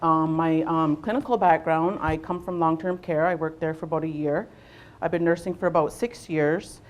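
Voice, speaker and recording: A young adult woman from Southwestern Ontario leading a workshop, speaking loudly to a room without a microphone and often pausing on "um" and "uh". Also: sits low, fairly even intonation. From Southwestern Ontario, Canada. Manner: loud voice, unamplified, frequent ums and uhs. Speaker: workshop facilitator, nurse navigator. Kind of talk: presentation to a workshop audience.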